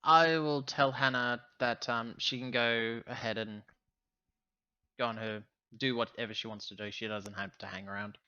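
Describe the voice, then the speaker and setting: A man's voice: mid-range voice, fairly even intonation, moderate pace, pushed and bright, clear, resonant tone, highs cut off. A man playing a tabletop game, talking casually as he roleplays with the group.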